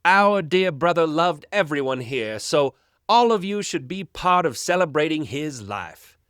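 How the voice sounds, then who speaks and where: A man voicing a scheming male con man posing as an English gentleman, with a Southern accent that badly attempts to sound British, fawning and showy. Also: a little high, big pitch swings, a little slow, smooth, no echo, clean studio sound, pitched above the performer's own voice. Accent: Southern US put on, attempting British badly.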